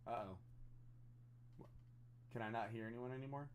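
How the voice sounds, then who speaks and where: A man's voice: medium pitch, fairly even intonation, moderate pace, slight background noise. A man chatting casually and self-deprecatingly as he hosts a live stream over voice chat. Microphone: voice chat on a computer.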